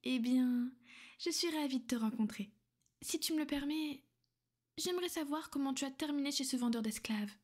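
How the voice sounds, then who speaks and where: A woman playing a gentle but possessive vampire noblewoman, speaking softly and often in a whisper for an ASMR roleplay. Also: sits high, normal speed. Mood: whispered, caring. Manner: soft, soothing, often whispered.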